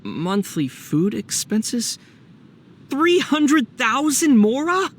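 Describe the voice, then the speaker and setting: Youthful male game adventurer: light, high for a man, lively swings in pitch, playful and teasing. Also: very slow, heavy background noise, exaggerated voice. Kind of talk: video game character dialogue.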